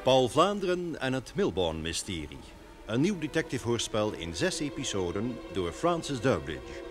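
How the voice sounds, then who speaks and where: Animated male announcer over music. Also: mid-range voice, normal speed. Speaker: radio announcer. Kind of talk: scripted old radio title announcement. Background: orchestral theme music.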